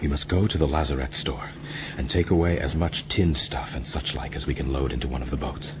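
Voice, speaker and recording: An actor in a radio drama playing an earnest, courteous ship's mate, measured and purposeful. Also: male voice, rumbling low. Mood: urgent, resolute. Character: earnest, resolute ship's mate, educated man plotting escape. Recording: audio drama with clear ambient noise.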